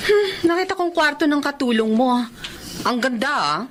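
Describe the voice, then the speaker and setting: A woman's voice: sits high, expressive, normal speed, loud music behind the voice. An actress with a Filipino accent playing a jealous, haughty, hot-tempered young girlfriend who sneers and scolds in a radio drama. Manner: sneering, scolding, sharp-tongued. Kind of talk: Tagalog radio drama acting.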